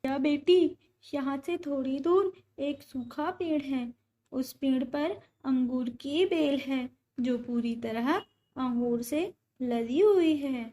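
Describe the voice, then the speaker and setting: A woman voicing a wise old female pigeon who gives measured advice in a children's cartoon story. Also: high-pitched, mellow. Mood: helpful.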